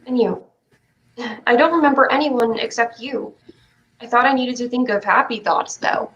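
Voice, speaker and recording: A woman's voice: fairly high pitch, normal speed, a bit bright. A woman playing an anxious, pleading child in a staged reading of a play. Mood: downcast. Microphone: video call. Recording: muffled livestream call audio.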